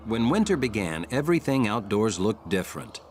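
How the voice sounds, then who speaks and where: A man playing a wry, gentle storyteller, narrating a children's cartoon in a measured way. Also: fairly low pitch, very animated, faint music behind.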